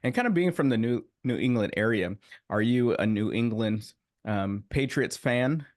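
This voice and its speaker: A man's voice: mid-range voice, animated, moderate pace. Casual male podcast host. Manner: casual, often says "kinda". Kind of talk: podcast interview.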